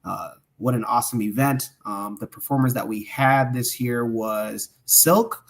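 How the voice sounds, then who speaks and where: A man with a medium-pitched voice wraps up a livestream podcast. He sounds upbeat and proud, and often stalls on fillers and restarts as he gives shout-outs. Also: measured pace. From Omaha, Nebraska. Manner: enthusiastic, hesitant with many fillers. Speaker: podcast co-host. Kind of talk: closing remarks and shout-outs.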